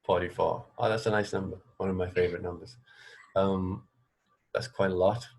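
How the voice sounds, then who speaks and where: A man's voice: deep voice, steady tone, normal speed, creaky, a little mellow, breathy edge. An English man hosting a casual radio interview, talking in a rambling, hesitant way and often rewording himself. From England. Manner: rambling, hesitant, self-correcting. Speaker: radio show host and interviewer.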